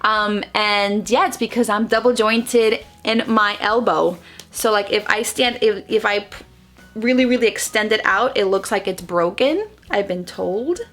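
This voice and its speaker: A woman in her thirties whose first language is Spanish, chatting through a casual vlog in her bedroom. She talks animatedly with her hands, full of fillers and quick restarts. She sits close to the camera. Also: medium pitch, a bit bright, soft background music. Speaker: vlogger. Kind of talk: casual facts-about-me vlog. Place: bedroom at home, indoors. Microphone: camera close in front, seated.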